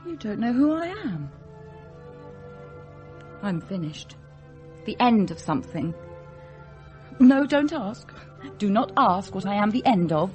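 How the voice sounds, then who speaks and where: A woman's voice: medium pitch, very animated, slow pace, long pauses, darkish tone, faint music behind. An actress playing an evasive, self-doubting young woman in a radio drama, hesitant and brittle, with an English accent. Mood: forlorn. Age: young adult.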